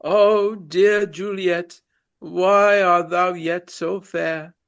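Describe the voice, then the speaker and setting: A theatrical gentleman of the Regency stage in a radio drama. He declaims at a very leisurely pace in a fairly high male voice, courtly and earnest, savouring florid phrases with hammy grandeur. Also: fairly even intonation, drawn-out words, clear, resonant tone, lo-fi sound, heavily acted. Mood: mournful, declaiming. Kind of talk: radio play acting, declaimed Shakespeare.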